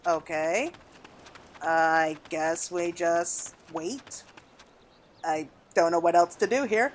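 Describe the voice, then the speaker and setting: A woman giving casual, exclamatory gameplay commentary in an animated way. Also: lowish voice, slow pace, slight background noise. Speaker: gaming video creator. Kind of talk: casual gameplay commentary with reading aloud of game dialogue.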